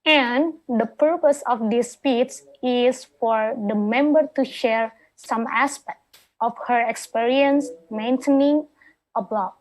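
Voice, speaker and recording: Woman introducing a speaker on a video call, slow and careful, kinda high voice. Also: rough recording. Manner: measured, reads out the project details. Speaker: speech evaluator.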